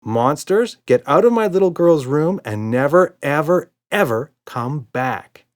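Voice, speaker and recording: Man playing a patient, playful dad, lively intonation. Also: a little high, measured pace, voice pushed up. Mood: mock-serious. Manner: indulgent, mock-serious. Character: patient, playful, reassuring father of small girl. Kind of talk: children's storybook character voice.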